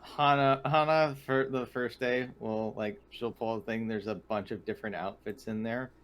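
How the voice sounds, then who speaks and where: A man's voice: mid-range voice, steady tone, moderate pace, slight background noise. A man casually narrating a character's actions as a player in a tabletop game.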